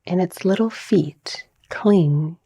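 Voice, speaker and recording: A woman softly narrating a guided relaxation visualization in a breathy, soothing, measured manner. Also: lowish voice, no room sound, clean studio sound. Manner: soft, breathy, soothing, gently measured delivery. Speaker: guided meditation narrator, mindset coach.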